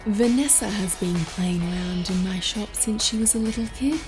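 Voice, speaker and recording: A woman's voice: mid-range voice, moderate pace, music in the background, lightly acted. A woman playing a friendly, enthusiastic workshop inventor in an audio drama. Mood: friendly, excited. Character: friendly, enthusiastic adult female workshop tinkerer.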